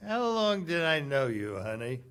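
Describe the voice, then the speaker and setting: An actor playing a bitter, heartbroken American cafe owner in 1940s radio drama style, sardonic, slangy and clipped. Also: male voice, fairly high pitch, big pitch swings, unhurried, fairly bright tone, clean tone. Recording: live stage radio play with music.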